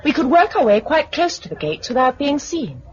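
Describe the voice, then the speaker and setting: A woman's voice: high-pitched, very animated, moderate pace. An actress playing a concerned, compassionate woman survivor in a 1950s radio drama, earnest and anxious. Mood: watchful. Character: Gisela, concerned, compassionate young female survivor. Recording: muffled, noisy vintage radio recording.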